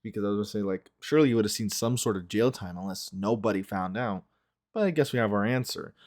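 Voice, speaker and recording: A man's voice: fairly low pitch, fast, a little mellow. A man giving casual reaction commentary as a video host, thinking aloud as he goes. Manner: musing, thinking aloud, frequent "like" and "right".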